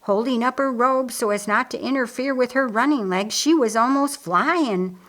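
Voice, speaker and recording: A woman reading historical fiction aloud as an expressive audiobook narrator. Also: on the high side, moderate pace.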